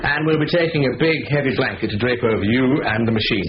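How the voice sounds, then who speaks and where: A man's voice: medium pitch, fast talker, natural voice. An actor in a 1970s radio drama playing a patient ringleader who briefs his thieves in short, clipped instructions. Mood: instructing. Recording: muffled old radio broadcast with hiss.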